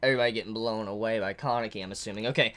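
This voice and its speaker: A woman giving a chatty, excitable live manga reaction while congested from a head cold. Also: extremely low pitch, racing. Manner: excitable, chatty, exclaims often.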